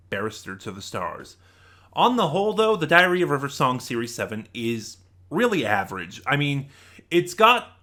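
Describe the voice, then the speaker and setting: A man giving an unscripted, opinionated review to his viewers as an online reviewer, talking emphatically with halting stops and repeated phrases. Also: mid-range voice, sharp tone. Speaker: YouTube audio drama reviewer.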